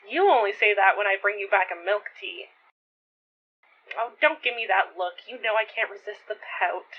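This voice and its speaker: A woman's voice: medium pitch, moderate pace, a little hiss or hum, natural voice. A woman playing a teasing, caring young friend in a naturalistic audio drama, casual and wry in manner. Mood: playful, fond. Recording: thin, phone-like narrow band.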